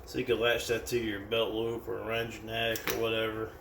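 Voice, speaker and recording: Male American product reviewer, casual and unscripted, creaky voice, picked up from a distance. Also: on the low side, monotone, a little fast. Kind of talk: casual hands-on product demonstration. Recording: roomy, distant mic with background noise.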